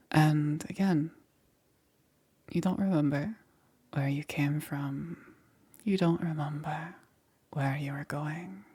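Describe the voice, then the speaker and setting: A woman playing a gentle witch caring for a stranger in a soothing ASMR roleplay, breathy and often dropping into a whisper. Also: low-pitched, exaggerated voice. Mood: calm, reassuring. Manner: unhurried, soothing, checking in with short murmurs. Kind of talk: soft-spoken ASMR roleplay.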